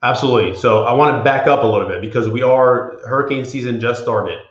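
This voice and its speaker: A man's voice: mid-range voice, fast, echoey room, highs cut off. A man from the Florida Panhandle, an attorney speaking as an interview guest, talking casually with many fillers and restarts. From Florida Panhandle, USA. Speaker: property insurance attorney, guest.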